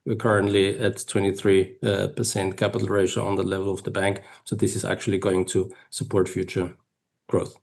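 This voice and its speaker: A man speaking as a finance executive on an earnings call, explaining figures in a measured, halting way. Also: deep voice, little pitch movement, gravelly, a little mellow. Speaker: finance executive presenting company results. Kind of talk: earnings call presentation and answers.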